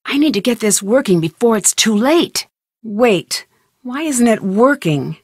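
A woman playing a young, curious and determined amateur detective in a video game, thinking aloud in clearly articulated scripted lines. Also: a little high, expressive, measured pace, no echo, studio quality. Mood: musing, focused.

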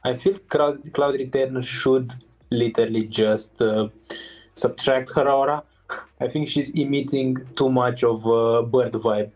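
A woman's voice: extremely low pitch, fairly even intonation, a little slow, darkish tone, soft background music, rough recording. A woman giving casual, slangy, muttered reactions while streaming a video game.

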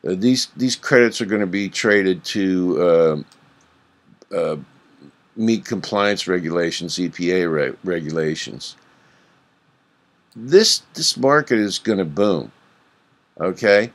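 A man giving informal, unscripted news commentary in a halting, rambling way, often circling back to restate himself. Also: low-pitched. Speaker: video host commenting on business news.

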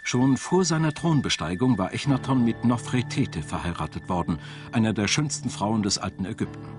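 A man reading scripted documentary narration in a measured, storytelling manner. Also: fairly low pitch, expressive. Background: music.

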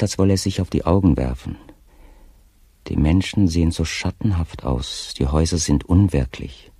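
An actor playing a tormented, guilt-ridden official slipping into madness, reading his diary in a brooding, deliberate way in an audio drama. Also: extremely low pitch, vocal fry, mellow, slightly rough, dry recording, exaggerated voice. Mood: haunted, anguished.

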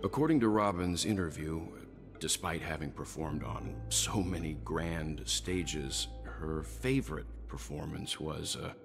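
An actor playing a calm, courteous older man in a video game, speaking in a measured, formal way. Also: extremely low pitch, gravelly, exaggerated voice. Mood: calm, pensive. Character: calm, grave, courteous older male traveler. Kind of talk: voiced video game dialogue. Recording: game audio under music.

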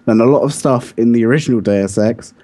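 A man with a mellow, unforced voice at an average pitch debates casually as a podcast co-host. He presses his points insistently and likes to take the opposing side. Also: brisk. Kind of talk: casual debate about video games.

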